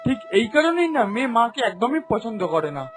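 A man voicing a proud, indulgent middle-aged father in an animated cartoon, emphatic and expressive. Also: extremely high pitch, brisk, soft background music. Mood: defensive, irritated.

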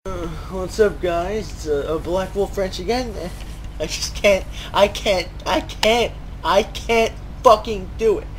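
A man's voice: sits high, takes it slowly. Profane, sarcastic ranting male gamer. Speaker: gaming video host. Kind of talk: mocking game commentary. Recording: noisy, game sound behind.

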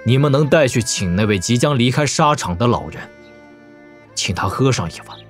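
A man playing a calm, commanding young warlord prince in an audio drama, speaking with measured, weighty deliberation. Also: medium pitch, faint music behind, natural voice. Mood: solemn, heartfelt.

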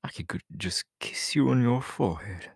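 A man playing a sly, teasing, menacing villain in an ASMR audio roleplay, breathy and often slipping into whispers, close on the microphone. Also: medium pitch, lively intonation, dry recording. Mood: flirtatious. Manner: slow, drawn-out, teasing. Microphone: close microphone, dry.

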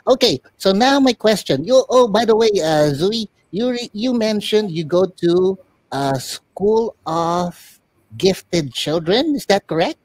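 Man voicing a lively, high-pitched puppet show host. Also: slow, no echo, heavily acted, poor audio. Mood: chatty, curious. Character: chatty, curious boy puppet show host. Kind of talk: casual interviewing.

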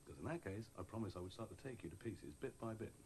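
An actor playing a coolly menacing husband with a sarcastic politeness, with slight background noise. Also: male voice, on the low side, lively intonation, fast, darkish tone. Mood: quietly threatening. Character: coolly menacing husband making a calm threat.

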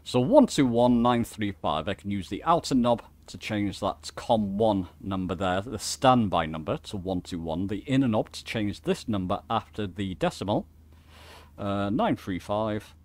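A man's voice: lowish voice, expressive, measured pace. A man giving a casual tutorial, talking informally, thinking aloud and correcting himself as he goes. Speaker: flight simulator tutorial host. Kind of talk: casual instructional screen-share commentary.

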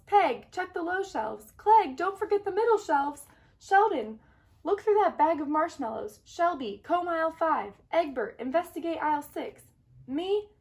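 Lady playing worried bossy egg from a kids storybook, high voice, super expressive. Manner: dramatic, fretful, commanding, expressive. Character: anxious, bossy, earnest young egg leading his friends. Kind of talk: animated picture-book read-aloud.

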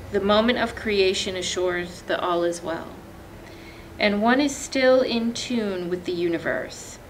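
Slow, deliberate woman reading aloud. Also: mid-range voice, slight background noise, rough recording. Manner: measured, deliberate phrasing with pauses. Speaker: librarian presenting a poetry reading. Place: indoor library, seated among bookshelves.

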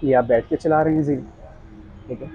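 A young man giving a casual, polite sales pitch, quoting products and prices as a shop salesman. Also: fairly even intonation, moderate pace, narrow, tinny audio, background noise. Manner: polite, addresses customer as sir. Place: small tiled fitness equipment shop, indoors.

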